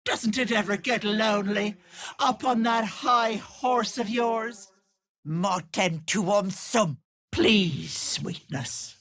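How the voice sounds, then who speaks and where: An actress voicing a wicked old hag with a raspy, cackling voice, taunting with sugary pet names. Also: female voice, medium pitch, drawn-out words, a bit bright. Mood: menacing, teasing. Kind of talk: video game voice acting.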